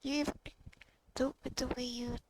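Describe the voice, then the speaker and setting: A woman's voice: high-pitched, little pitch movement, normal speed, background noise. A woman giving casual commentary as she plays a game, in murmured, often whispered asides. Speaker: gamer commenting on gameplay. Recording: loud game music behind.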